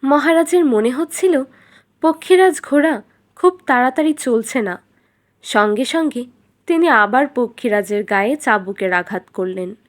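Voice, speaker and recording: A woman's voice: sits high, lively intonation, unhurried. A woman narrating a scripted fairytale for children as a storyteller.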